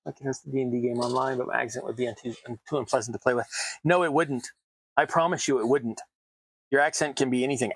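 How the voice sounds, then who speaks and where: A man's voice: mid-range voice, fairly bright tone, dry recording, rough recording. Chatty American man rambling while crafting. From United States. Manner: rambling, chatty, self-deprecating asides. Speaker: terrain crafting streamer. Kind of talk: casual chat while crafting. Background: sounds of cutting at a worktable.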